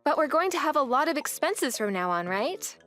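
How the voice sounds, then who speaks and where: A woman's voice: high-pitched, big pitch swings, brisk, fairly bright tone, soft background music. A young woman narrating her own story as a wife in a manga dub, speaking in an earnest, weary way.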